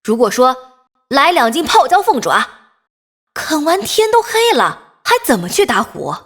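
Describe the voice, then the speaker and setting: A woman performing an animated comic audiobook anecdote with bold quoted lines, with reverb added to the voice. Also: high-pitched, a little slow, full character voice. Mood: lively, bold. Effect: added room reverb. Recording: demo clip with added reverb effect.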